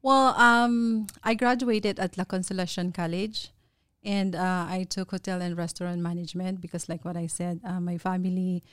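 A woman's voice: on the low side, level tone, moderate pace, fairly bright tone, clean tone, no room sound. A woman in her forties from the Philippines with a Filipino accent, chatting as a guest in a studio interview. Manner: friendly, chatty, laughs easily, mixes in Tagalog. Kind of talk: casual interview chat. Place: podcast studio.

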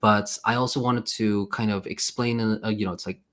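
A man's voice: on the low side, fairly even intonation, moderate pace, a bit bright. A man from Sweden hosting a casual podcast chat, friendly and rambling, full of filler phrases.

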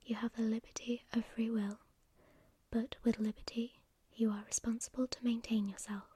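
A woman voicing a calm, commanding male angel messenger in a breathy whisper, with a measured, preaching delivery. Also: a little high. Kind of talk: whispered storytelling.

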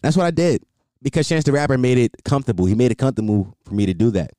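A man's voice: mid-range voice, racing, mellow, no echo. Rambling young man on a dry mic. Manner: rambling, repeats himself, frequent "like" fillers. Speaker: podcast host. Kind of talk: casual solo podcast talk.

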